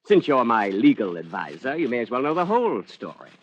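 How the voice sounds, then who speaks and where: A man's voice: medium pitch, sing-song, brisk. An actor playing an arrogant, flippant British man-about-town who is a killer in hiding, in 1940s radio drama style. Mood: defensive, callous. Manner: haughty, flippant, old-boy phrasing. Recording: dull lo-fi old radio recording.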